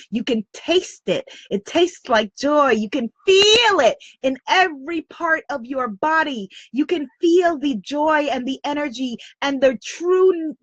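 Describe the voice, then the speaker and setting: A woman's voice: high-pitched, no room sound, muffled recording. A woman leading a guided visualization, speaking soothing, repetitive affirmations. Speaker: meditation guide.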